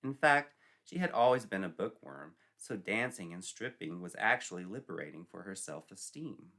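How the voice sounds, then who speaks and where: A man reads a scripted story as its narrator. His voice sits a little low but is bright and forceful. The delivery is theatrical and mock-serious, treating every line as high drama. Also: animated, normal speed.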